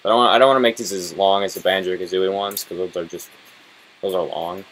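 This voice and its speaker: A man's voice: deep voice, fairly even intonation, fast talker, fairly bright tone. A man giving casual, foul-mouthed and grumbling commentary as he plays a video game. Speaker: let's-play host playing and commenting. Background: video game sound.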